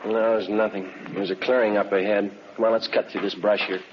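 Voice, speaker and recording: Low-voiced terse radio detective played by a man. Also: little pitch movement, fairly quick, natural voice. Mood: terse, watchful. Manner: clipped, understated, matter-of-fact. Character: terse, methodical male police detective searching outdoors. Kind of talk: 1950s radio police drama acting. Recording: dull, noisy old radio recording.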